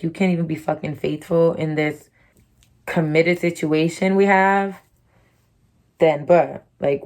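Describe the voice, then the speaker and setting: A woman's voice: on the low side, darkish tone. Blunt, profane young American woman. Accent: her own distinctive American accent. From Connecticut, USA. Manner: candid, blunt and profane, full of "like" and "you know". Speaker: YouTuber. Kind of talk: candid storytime monologue.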